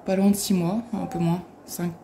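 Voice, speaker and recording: A woman's voice: lowish voice, flat delivery, normal speed, creaky. A woman food blogger chatting casually while she cooks, peppering her talk with little fillers as she works. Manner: chatty, fillers like hop and voilà. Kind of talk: casual cooking demo. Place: home kitchen. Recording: echoey kitchen, loud background noise.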